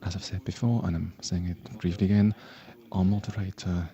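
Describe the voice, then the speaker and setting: Man as a stand-in panel moderator, deep mellow voice, halting delivery with fillers. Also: flat delivery, highs cut off. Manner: hesitant, self-deprecating, with frequent fillers. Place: public event hall.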